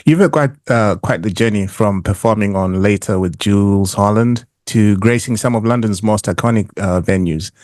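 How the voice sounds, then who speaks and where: A man hosting a podcast interview over a video call, asking questions in a halting way with many stops and restarts. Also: lowish voice, darkish tone, dry recording, studio quality. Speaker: podcast host. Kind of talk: casual interview.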